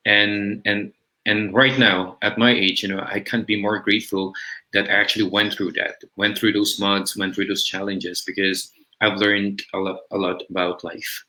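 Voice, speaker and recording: A man's voice: on the low side, little pitch movement, normal speed, pushed and bright, poor audio. A man, telling his own story reflectively and conversationally as an interview guest. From Philippines. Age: 30s. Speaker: interview guest, business coach. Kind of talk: personal storytelling in a livestream interview.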